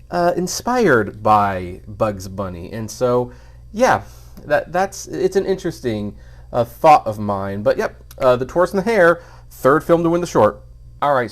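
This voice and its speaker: Guy doing a casual film review, medium pitched voice, chatty and opinionated. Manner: conversational, informal, opinionated.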